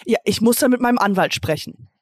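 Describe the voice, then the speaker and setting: A woman's voice: fairly high pitch, expressive, fast talker, slightly rough, no echo, studio quality. A woman co-hosting a podcast in casual comic banter, with a playful, mock-serious manner. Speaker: podcast co-host.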